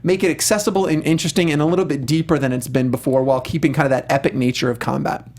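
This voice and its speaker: A man's voice: on the high side, fairly even intonation, racing, a little mellow. A man co-hosting a casual review show, talking in an enthusiastic, readily agreeable and gushing way. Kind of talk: casual panel discussion of video game films.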